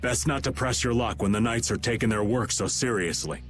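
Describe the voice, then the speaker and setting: An actor playing a sly, easygoing middle-aged rogue in a video game. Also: male voice, mid-range voice, a little fast, soft background music, close to an everyday voice. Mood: wry. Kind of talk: animated video game acting.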